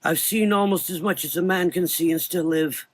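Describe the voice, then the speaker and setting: A man acts out an elderly doctor in a gothic audio drama, haunted and confused. The thin, weak voice sits high and stumbles over repeated phrases. It is recorded close and dry. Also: fairly even intonation, no room sound, close to an everyday voice. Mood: awed, bitter. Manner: halting, repeating phrases, exclaiming.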